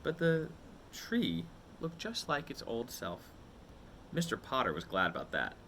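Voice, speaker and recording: A man reading a children's picture book aloud expressively, with dramatic drawn-out words and suspenseful pauses. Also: mid-range voice, very animated, pushed and bright, slight background noise.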